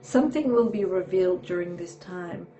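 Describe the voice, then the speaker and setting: A woman giving a tarot reading, hoarse from a sore throat, talking haltingly with many fillers and frequent "okay"s. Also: fairly low pitch, dark tone, muffled recording, slight background noise. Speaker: tarot reader.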